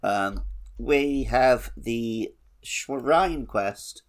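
A man's voice: mid-range voice, extremely slow. A man chatting casually as a co-host on a gaming podcast.

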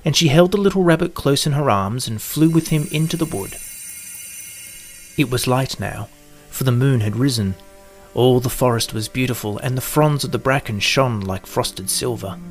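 Gentle male storybook narrator, middle-pitched voice over soft music. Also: normal speed.

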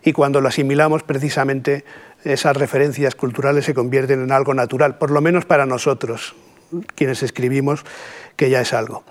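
A middle-aged man from Catalonia with a Catalan Spanish accent, giving a measured, reflective poetry lecture with pauses and rhetorical asides. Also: mid-range voice, steady tone.

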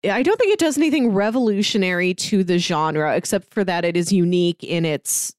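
A woman's voice: lowish voice, lively intonation, a little fast, no room sound, studio quality. A woman on a podcast panel, talking in a casual but analytical way as she lays out her view.

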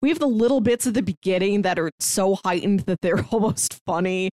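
Female co-host, mid-range voice, animated and conversational film discussion, very dry sound. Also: mid-range voice, a little fast, dry recording. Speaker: film review podcast co-host.

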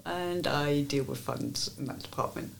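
A woman in her fifties from Jersey answering interview questions hesitantly, with many pauses and ums. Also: deep voice, creaky. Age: 50s. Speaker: guest.

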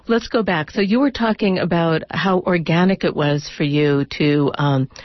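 A woman's voice: low-pitched, no echo, highs cut off. A woman hosting a casual radio interview, with tentative, rambling phrasing. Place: radio studio.

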